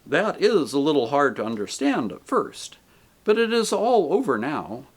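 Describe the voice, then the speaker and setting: A man voicing a radiant male spirit who answers calmly and patiently. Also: fairly high pitch, normal speed, husky, exaggerated voice. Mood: serene. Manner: calm, patient, gently reassuring. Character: radiant joyful male spirit, calm and gentle.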